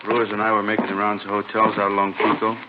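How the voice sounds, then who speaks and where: Man playing casual police detective, deep, fast-paced, laconic, old radio crime drama, noisy recording. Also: completely flat delivery, phone-quality sound, close to an everyday voice. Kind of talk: 1950s radio drama acting.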